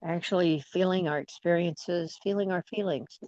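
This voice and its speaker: A woman leading guided imagery in a soothing, gentle manner, leaving long pauses between phrases. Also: fairly low pitch, no echo, lo-fi sound. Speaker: guided meditation leader.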